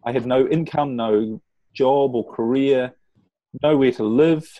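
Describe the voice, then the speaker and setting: A middle-aged man with a New Zealand accent, a relationship coach telling his life story as a podcast guest in an earnest, measured way with some restarts. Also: medium pitch, dark tone, no room sound, lo-fi sound.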